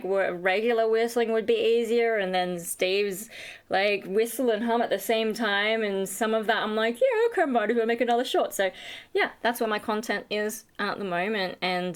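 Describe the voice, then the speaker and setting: A young woman with a high voice, rambling tiredly in a casual vlog chat, full of fillers and self-deprecating asides. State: tired, running on fumes. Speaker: vlogger and singer.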